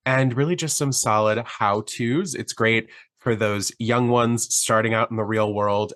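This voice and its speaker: A man's voice: lowish voice, a bit bright, no echo, studio quality. A man in his thirties co-hosting a book podcast, easygoing, chatty and joking. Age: 30s. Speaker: podcast co-host. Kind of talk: casual book chat.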